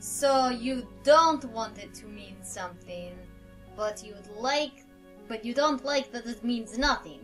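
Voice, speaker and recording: A woman's voice: a little high, slow, fairly bright tone, recorded from a distance, faint music behind. A young woman bantering casually and reading game dialogue aloud in a joking, irreverent way. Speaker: let's play co-host.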